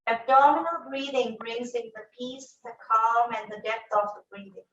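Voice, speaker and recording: A woman leading a guided breathing meditation in a slow, soft, calm voice with long pauses. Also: on the high side. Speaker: yoga teacher. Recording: echoey room, voice from a distance, dull sound.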